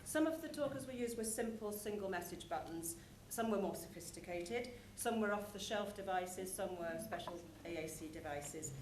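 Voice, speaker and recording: Female presenter, mid-pitched, conversational and explanatory, live in a reverberant room with background noise. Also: lively intonation, normal speed, hardly any pauses, a little mellow. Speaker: conference presenter. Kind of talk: explanatory presentation about a show.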